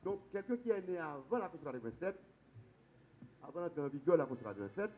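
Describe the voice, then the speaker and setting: A man's voice: fairly high pitch, very animated, rapid-fire, dull audio, a little hiss or hum. A Haitian man on a law panel, explaining the constitution emphatically. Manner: emphatic, stresses points with sharp rises. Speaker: lawyer on a conference panel.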